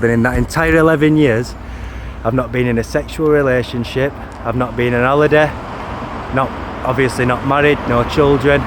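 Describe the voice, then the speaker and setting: A man, talking to camera outdoors by a street, repeating and correcting himself insistently. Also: mid-range voice. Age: 30s. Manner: repetitive, restarting, insistent. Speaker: vlogger. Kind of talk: first-person video to camera. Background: traffic noise.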